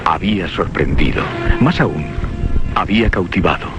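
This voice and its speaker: A grave male narrator an actor tells a radio horror story in scripted literary prose. His Castilian voice sits very low, and music plays behind him. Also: moderate pace, close to an everyday voice. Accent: Castilian Spanish.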